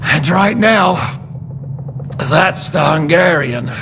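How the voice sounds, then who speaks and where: Wry battle-worn rebel leader, raised pitch, commanding, medieval game drama, noisy ambience. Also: male voice, animated, slow pace, gravelly, full character voice. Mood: scheming, commanding. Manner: blunt, wry, swearing, commanding. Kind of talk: cinematic video game acting.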